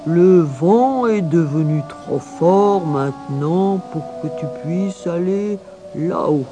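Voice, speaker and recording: A woman voicing a gruff, grumbling old mountain grandfather in a children's storybook, stern and emphatic. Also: fairly low pitch, slow pace, over music. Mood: firm. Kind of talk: children's storybook character acting.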